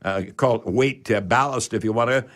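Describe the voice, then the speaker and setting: A man's voice: mid-range voice, a bit bright. Folksy older man hosting radio. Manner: folksy, halting, repeats himself. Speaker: radio call-in show host, home repair expert. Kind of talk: conversational radio advice, explaining to listeners.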